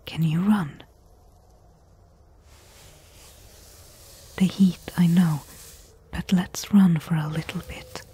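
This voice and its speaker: A woman playing a bold, companionable desert treasure hunter in a soft-spoken ASMR roleplay, hushed and coaxing in a breathy whisper. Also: fairly low pitch, steady tone, unhurried. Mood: urgent, wary.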